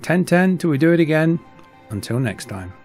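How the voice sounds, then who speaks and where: A man's voice: fairly high pitch, very animated, normal speed, a little mellow, faint music behind. A middle-aged man reading a scripted voice-over narration as an online video host. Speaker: YouTube host, radio hobbyist.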